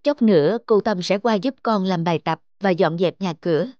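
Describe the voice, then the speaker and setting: An actress voicing a busy working mother in a cartoon, talking briskly. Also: fairly low pitch, a little mellow, no echo, lo-fi sound, lightly acted. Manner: brisk, matter-of-fact. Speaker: cartoon character. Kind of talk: cartoon voice acting.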